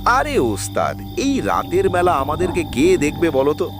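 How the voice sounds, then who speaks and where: A man's voice: fairly high pitch, huge pitch swings, normal speed, over music. An actor playing a cocky, dismissive henchman of a village gang in a horror cartoon.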